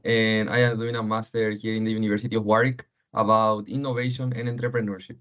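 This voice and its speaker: A man's voice: lowish voice, flat delivery, fast, a bit bright. A man from Paraguay, a graduate student, briefly introducing himself on an online call. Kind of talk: self-introduction. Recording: dull lo-fi call audio.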